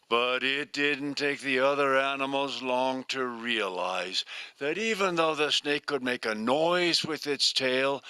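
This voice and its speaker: Older man, storyteller, slow deliberate telling, bright voice, clear smooth tone, dry sound. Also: medium pitch, no room sound. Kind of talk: live folktale telling to an audience.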